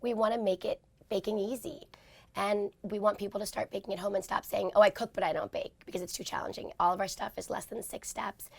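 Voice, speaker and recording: A woman in her forties from New York, hoarse from talking too much, chatting in an interview with quick self-deprecating asides. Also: mid-range voice, steady tone, a little mellow, dry recording. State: hoarse, lost voice. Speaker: interview guest. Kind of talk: casual interview talk.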